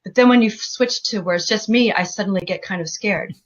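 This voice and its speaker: A woman's voice: medium pitch, fast talker, crowd noise. A woman joins as a guest author over a video call. She speaks tentatively and trails off, and the call audio sounds dull and muffled.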